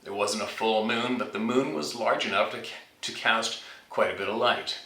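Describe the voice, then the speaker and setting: Male story-channel host, lowish pitch, informal read-aloud delivery, distant roomy recording. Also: moderate pace, bright, forceful tone. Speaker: channel host reading out a viewer's submitted story. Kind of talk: reading a listener's scary story aloud, informal. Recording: roomy echo, distant from mic.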